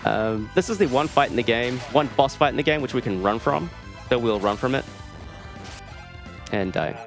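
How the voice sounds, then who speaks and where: A man's voice: mid-range voice, lively intonation, normal speed. A man with a New Zealand accent giving casual, dryly joking live commentary as he plays a video game. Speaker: speedrunner presenting a live run. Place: live speedrunning marathon event. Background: game music.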